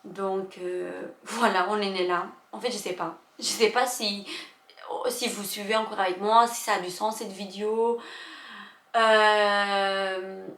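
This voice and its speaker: A young woman vlogger, not a native French speaker, telling a personal story in an emotional, halting way with many fillers and restarts. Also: medium pitch, steady tone, fairly bright tone. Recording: echoey room.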